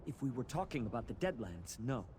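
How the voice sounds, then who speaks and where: A man plays a disgruntled male cult initiate in a fantasy video game, wary and exasperated, with a mid-pitched voice. He grumbles his secrets like someone anxious to get out. Clear background ambience runs under the voice. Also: very animated, a little fast, noisy background, lightly acted. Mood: wary, urgent.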